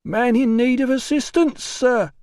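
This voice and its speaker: A man's voice: very high-pitched, measured pace, a little mellow, no room sound, clean studio sound, pitched above the performer's own voice. A man voicing a drunk, weepy army hospital porter who wails and slurs in grief. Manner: slurred, wailing, grief-stricken.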